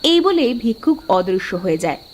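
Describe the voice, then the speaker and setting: A woman narrating a scripted fairy tale for an animated cartoon. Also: fairly high pitch, fairly quick, slight background noise.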